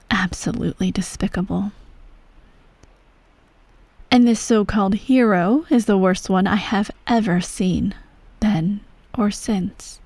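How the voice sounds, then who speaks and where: A woman playing a ruthless, self-righteous empress mother in a scripted ASMR bedtime roleplay, speaking in a hushed, measured, regal way with soft whispery tones. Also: medium pitch, breathy edge, no echo. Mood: disdainful, hushed.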